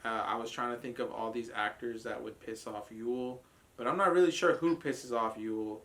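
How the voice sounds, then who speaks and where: Bright-voiced man ranting with a laugh. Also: mid-range voice, normal speed. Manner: blunt, profane, laughing rants. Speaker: podcast co-host reviewing a trailer. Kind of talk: casual group reaction chat.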